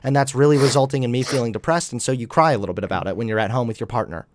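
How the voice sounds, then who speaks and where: A man's voice: mid-range voice, rapid-fire, no echo. A young man hosting a heated online debate, lecturing and talking over his opponent. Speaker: debate host.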